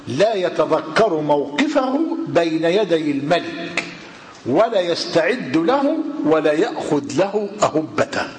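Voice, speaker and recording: An older man with an Egyptian Arabic accent, giving a religious lesson in a hall and questioning his listeners, slowing down when he quotes classical texts. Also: high voice. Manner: lively rhetorical questioning of the audience. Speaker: preacher. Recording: reverberant hall with faint noise.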